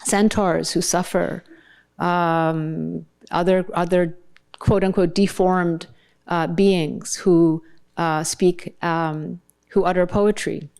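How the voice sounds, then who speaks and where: A woman whose first language is Bengali, giving an academic lecture with slides and often pausing to search for her words. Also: lowish voice, fairly even intonation, vocal fry, darkish tone, smooth. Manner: thoughtful, searching for words mid-sentence. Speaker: translator giving a keynote talk.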